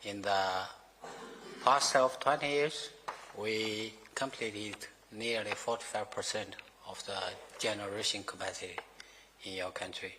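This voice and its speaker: A man giving formal remarks as a company executive in halting English, full of fillers and grammar slips. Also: fairly low pitch, steady tone, noisy background. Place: formal meeting room. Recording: reverberant meeting hall.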